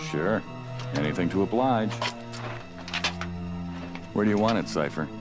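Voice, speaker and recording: An actor playing a cocky, wry space smuggler in a space opera audio drama, with a dry sardonic manner. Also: male voice, deep voice, unhurried, music in the background. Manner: dry, sardonic, sure of himself.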